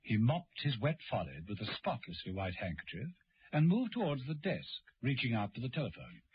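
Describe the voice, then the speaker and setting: A man's voice: medium pitch, wildly swooping pitch, brisk, breathy edge, no echo. A man narrating a radio serial in a dramatic, suspenseful and precise scripted style. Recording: dull lo-fi old radio recording. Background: dramatic music.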